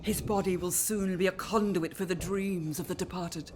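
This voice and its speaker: A woman's voice: lowish voice, fairly even intonation, normal speed, darkish tone, a little hiss or hum, lightly acted. An actress playing a severe, watchful, haunted lady of a Victorian house in a radio play, commanding and theatrical. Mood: hushed, commanding.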